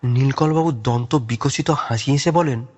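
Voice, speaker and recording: A man's voice: medium pitch, normal speed, a little mellow, lo-fi sound. A man narrating a scripted audio story in a dramatic storytelling style.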